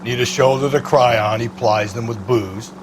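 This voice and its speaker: An actor playing a gruff, cynical, middle-aged American police detective in a 1940s crime game, blunt, slangy and world-weary. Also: male voice, mid-range voice, steady tone, normal speed, background noise, lightly acted. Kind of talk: video game cutscene acting. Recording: music behind the voice.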